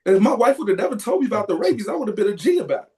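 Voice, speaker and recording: Male podcast co-host, casual storyteller, lively and profane. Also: high-pitched, rapid-fire. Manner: animated, profane, loud yelps when acting out.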